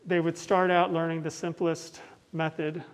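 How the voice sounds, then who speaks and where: A middle-aged man with an American accent and a fairly high voice that stays very even. He explains things patiently, in measured steps, in a conversational interview style. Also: low-quality recording. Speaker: bell ringer giving a tour. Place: large indoor tower room. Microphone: clip-on mic on the chest.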